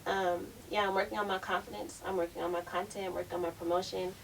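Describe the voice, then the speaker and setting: A woman with a squeaky voice, a rapper talking confidently as a podcast guest. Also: little pitch movement, fast talker, gravelly. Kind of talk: casual podcast chat. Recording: distant mic with faint hiss.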